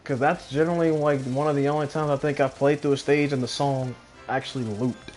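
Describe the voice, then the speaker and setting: A man's voice: medium pitch, fairly even intonation, fairly quick, a little mellow. A young adult man streaming a video game with casual, profane, slangy commentary. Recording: game music behind the voice.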